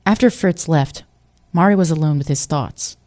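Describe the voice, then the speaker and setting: A woman's voice: low-pitched, moderate pace, darkish tone. A woman giving scripted story narration for an audio drama.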